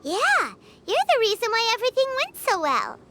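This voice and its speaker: A very high, piercingly bright little fairy voice, bubbly and excitable. She exclaims with big swoops of pitch, like a tiny childlike game companion, with music playing underneath. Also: normal speed, slight background noise, exaggerated voice. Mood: cheerful. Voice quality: squeaky, childlike. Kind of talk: video game voice acting.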